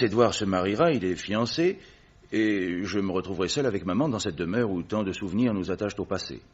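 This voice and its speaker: A man's voice: on the low side, slightly rough. An actor playing an anxious man who earnestly pleads for help for his brother in a vintage radio drama. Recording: dull old radio recording.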